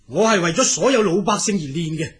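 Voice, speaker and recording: Man acting earnest exiled dragon, raspy Cantonese voice. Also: sits high, lively intonation, fairly quick, full character voice. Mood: firm, earnest. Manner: hesitant interjections, deferential yet firm. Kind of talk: Cantonese audio drama acting.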